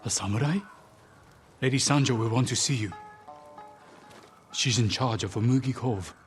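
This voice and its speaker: An actor playing a dutiful village guard in a video game, clipped and earnest. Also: male voice, mid-range voice, normal speed, natural voice. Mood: respectful, urgent. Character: dutiful village guard, brusque then respectful. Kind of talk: cinematic video game dialogue. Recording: music under the dialogue.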